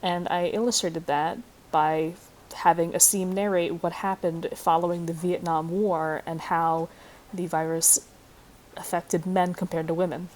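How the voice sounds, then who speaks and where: A woman's voice: fairly low pitch, little pitch movement, a little slow, a little mellow, rough recording. A young adult woman giving a scripted class presentation as a student, recorded at a computer. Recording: faint background noise.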